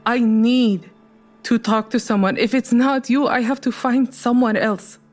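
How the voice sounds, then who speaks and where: A woman's voice: on the high side, fairly even intonation, unhurried, a little mellow, faint music behind, lightly acted. An actress playing a troubled, guilt-ridden woman who keeps pressing, in an audio drama. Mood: troubled, insistent.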